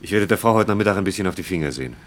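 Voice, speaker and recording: Radio play role: young factory engineer, deep voice, brisk, self-assured, noisy ambient backdrop. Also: male voice, expressive. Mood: intent, matter-of-fact. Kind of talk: German radio play acting.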